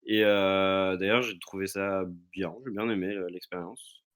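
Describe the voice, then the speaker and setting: Deep voiced guy on a podcast, telling stories, keeps restarting his sentences. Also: steady tone, fairly bright tone, clear, resonant tone. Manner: halting, searching for words with repeated restarts. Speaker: podcast guest. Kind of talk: casual chat, personal anecdotes. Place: remote recording from own location.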